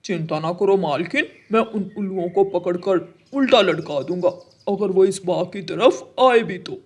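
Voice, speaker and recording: Woman doing a slow, boastful cartoon crow. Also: lowish voice, darkish tone, breathy edge, voice pushed down. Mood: eager boastful. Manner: emphatic, boastful. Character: boastful, hardworking male crow watchman. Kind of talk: children's cartoon voice acting.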